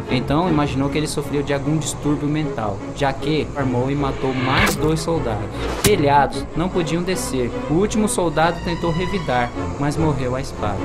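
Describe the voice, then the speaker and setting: A young man with a Brazilian accent reading scripted narration for an amateur radio drama. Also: fairly high pitch. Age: young adult. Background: music.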